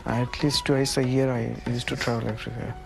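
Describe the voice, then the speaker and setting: A man's voice: medium pitch, little pitch movement, a little fast, gravelly, music in the background. A man from Sri Lanka with a Sri Lankan accent, a shop owner talking casually in a documentary interview.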